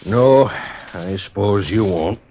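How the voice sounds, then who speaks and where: Low-voiced, lonely frontier loner. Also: male voice, takes it slowly, mellow, rough recording. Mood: terse. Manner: laconic, guarded, plain frontier talk. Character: lonely, resigned, taciturn stage station man. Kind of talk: 1950s radio western acting. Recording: old radio recording, faint hiss.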